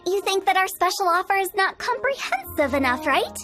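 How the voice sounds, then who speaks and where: A woman voicing a mischievous young female funeral parlor director in a game, playful and teasing, with spooky jokes and a salesy pitch. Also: sing-song, brisk. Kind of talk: video game voice acting. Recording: game audio with faint music. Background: faint game music.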